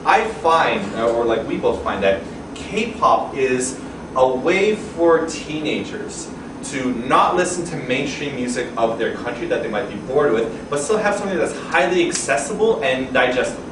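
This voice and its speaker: A young man talks casually to an audience, animated and quick to curse as he tells his stories. He sounds like he's some distance from the mic. The room is echoey, and a steady murmur of noise sits behind him. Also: medium pitch. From Canada. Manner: animated, swears casually, acts out quoted voices. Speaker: guest speaker. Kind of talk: casual storytelling to a live audience. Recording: roomy, distant, noisy event audio.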